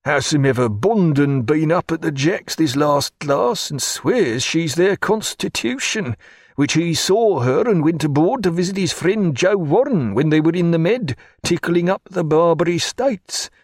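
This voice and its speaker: A man voicing a grumbling, bossy old male ship's steward who talks in rough sailor's dialect. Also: on the high side, expressive, a little slow, no room sound, studio quality, voice pushed up.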